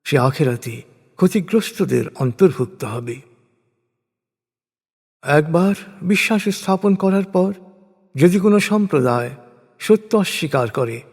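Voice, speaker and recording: A man reading a scripture translation as a solemn, formal scripted narration, with measured and deliberate phrasing. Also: fairly high pitch, expressive, darkish tone, husky. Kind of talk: solemn scripted religious recitation in prose. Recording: long hall-like reverb.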